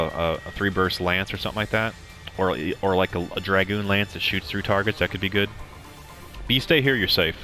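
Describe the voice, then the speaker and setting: A young man with a very deep, low voice talks through a game walkthrough in a loose, rambling way, stopping often with ums and restarting his sentences. Game music plays clearly underneath him the whole time. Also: sharp tone. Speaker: gameplay commentator. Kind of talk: casual game walkthrough commentary.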